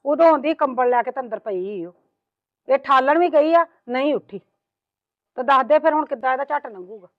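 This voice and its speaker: Woman doing a scolding saas, high voice, lo-fi sound. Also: lively intonation, normal speed, no room sound. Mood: grumbling. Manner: complaining, sarcastic, nagging with repeated "hain?". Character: scolding, proud mother-in-law, middle-aged woman. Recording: muffled lo-fi, dry.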